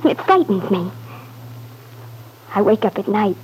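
An actress playing a devoted, anxious young wife in an old-time radio drama, pleading earnestly. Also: female voice, medium pitch, big pitch swings, measured pace, a little mellow, a little hiss or hum, low-quality recording. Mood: tender, troubled. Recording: husky-sounding vintage radio recording.

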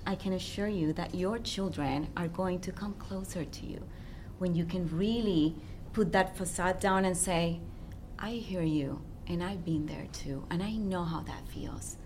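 A woman's voice: fairly low pitch, measured pace, a little hiss or hum. A woman who works as a relationship coach, speaking as an interview guest and often checking in with her listener. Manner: warm, earnest, checks in with "yeah?".

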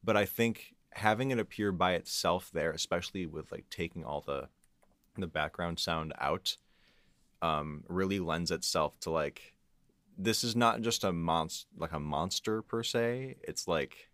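A man's voice: low-pitched, unhurried, fairly bright tone, dry recording. A man speaking as a guest composer in a casual interview, hesitant and restarting as he thinks aloud. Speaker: guest composer and audio engineer. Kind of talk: casual behind-the-scenes interview talk.

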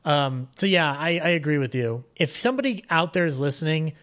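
A man's voice: fairly high pitch, animated, fairly quick, fairly bright tone. A man talking casually as a podcast guest, rambling along with a fan's enthusiasm. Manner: rambling, enthusiastic fan talk. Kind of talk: casual interview chat.

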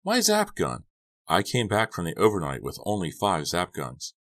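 A man voicing a hot-headed, panicky teenage boy in an audiobook, protesting loudly and heatedly. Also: low-pitched, lively intonation, normal speed. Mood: insistent.

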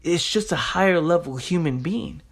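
A man's voice: fairly high pitch, lively intonation, normal speed, creaky, breathy edge. A young man giving an informal motivational monologue in a blunt, streetwise, emphatic way, punctuated with rhetorical questions and casual swearing. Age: young adult. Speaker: motivational speaker.

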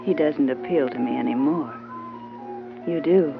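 An actress playing an alluring, scheming and bold femme fatale in an old radio drama. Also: female voice, low voice, measured pace, gravelly. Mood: seductive. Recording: muffled old radio, loud music behind.

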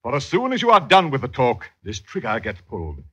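An actor playing a stern, jealous eighteenth-century London husband in old radio drama style, blustering in archaic phrases. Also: male voice, medium pitch, huge pitch swings, fairly quick, bright, forceful tone, slightly rough, full character voice. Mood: threatening.

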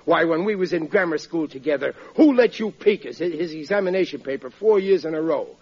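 A man's voice: on the high side, animated, fairly quick, a little mellow, slightly rough. An actor playing a blustering, boastful husband and father in a 1940s radio sitcom. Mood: indignant bluster. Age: middle-aged. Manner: blustering, indignant, ungrammatical. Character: blustering, boastful, good-natured working-class husband and father. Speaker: comedy lead actor. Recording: muffled vintage radio recording.

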